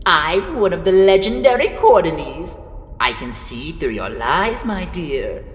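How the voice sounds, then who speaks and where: A woman's voice: lowish voice, big pitch swings, measured pace. Woman voicing an imperious, echoing fire emperor. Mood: cold menace. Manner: haughty, theatrical, menacing. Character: imperious, gloating male fire emperor and villain. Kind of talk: animated fantasy villain acting. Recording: echoey hall with long reverb.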